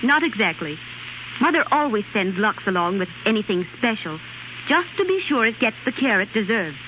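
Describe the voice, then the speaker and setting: A woman's voice: mid-range voice, big pitch swings, normal speed, natural voice. A woman acting a neat, fussy, good-natured college girl in a 1930s radio commercial. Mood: earnest, instructive. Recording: tinny, hissy 1930s radio recording.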